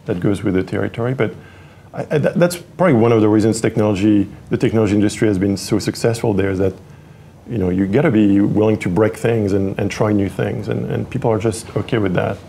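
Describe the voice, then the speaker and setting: French-accented male guest, mellow dark voice, faint room hiss. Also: lowish voice, fast, creaky. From France (Paris). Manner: hesitant, many fillers and restarts. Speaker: tech executive guest. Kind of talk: interview conversation.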